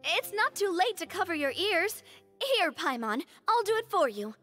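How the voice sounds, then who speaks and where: A bright, eager young adventurer. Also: female voice, very high voice, sing-song, moderate pace, faint music behind, full character voice.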